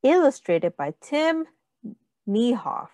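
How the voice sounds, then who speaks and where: A woman teacher reading a picture book aloud to young children in a sing-song, deliberate, instructive way, with counting and questions. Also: high-pitched, very animated, a little mellow, no echo.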